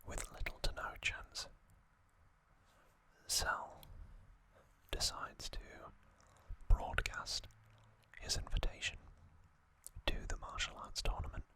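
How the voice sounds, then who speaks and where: An adult ASMR host narrating in a soft, deliberate whisper, pausing often between short phrases. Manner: soft, deliberate, reflective with pauses.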